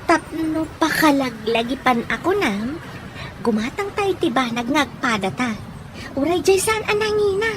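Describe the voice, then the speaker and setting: A woman's voice: sits high, expressive, normal speed, noisy background. An actress playing an easygoing, chatty woman companion in an Ilocano radio drama. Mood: easygoing, agreeable.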